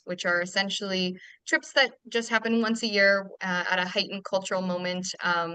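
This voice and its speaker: Bright, upbeat woman hosting a webinar. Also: mid-range voice, smooth, dry recording, rough recording. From Canada. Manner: upbeat, many fillers. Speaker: webinar host.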